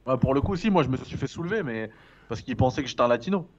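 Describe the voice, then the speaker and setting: A man's voice: mid-range voice, expressive, fast, highs cut off, a little hiss or hum. A French man co-hosting a podcast, telling anecdotes in casual chat with many restarts. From France. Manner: chatty storyteller, many restarts, laughs at own anecdotes. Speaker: podcast co-host.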